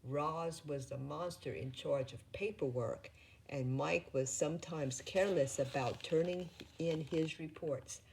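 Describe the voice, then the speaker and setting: A woman reading a children's story aloud in a deliberate, sometimes halting way. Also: deep voice, creaky, a little mellow, slight background noise, low-quality recording. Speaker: storybook reader.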